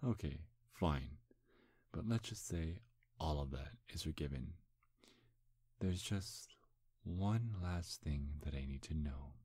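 A man playing a smug, seductive demon in an intimate ASMR roleplay, breathy and husky, teasing in a hushed, hypnotic way. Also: a bass voice, animated, takes it slowly, pauses often, dry recording, studio quality, heavily acted. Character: seductive, smug male demon posing as a handsome gentleman.

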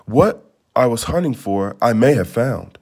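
A man's voice: mid-range voice, sing-song, a little slow, a little mellow. A man plays a noble young storybook prince. He is earnest and determined, speaking with formal conviction. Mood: insistent.

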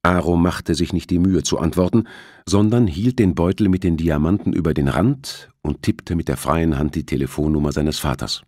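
A man's voice: sits low, normal speed, a little mellow, dry recording, studio quality. A man reading a thriller audiobook as its narrator, in scripted storytelling style.

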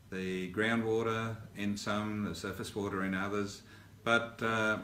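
An older man with an Australian accent, giving a formal spoken update to residents, measured and halting with many "uh" fillers and restarts. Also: lowish voice, a bit bright. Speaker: mayor giving a council update. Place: indoor office in front of a backdrop. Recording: roomy sound with faint ambient hiss.

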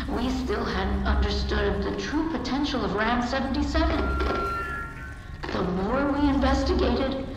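A woman playing an unhinged, condescending, bitter underground scientist in a video game, speaking theatrically. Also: high-pitched. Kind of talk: dramatic video game acting. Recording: very echoey hall, music behind.

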